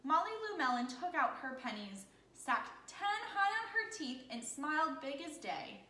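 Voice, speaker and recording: Lively high-voiced woman reading a children's story. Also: normal speed. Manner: expressive, encouraging, often asking questions. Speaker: teacher reading aloud. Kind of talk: interactive children's story read-aloud. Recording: echoey room with faint noise.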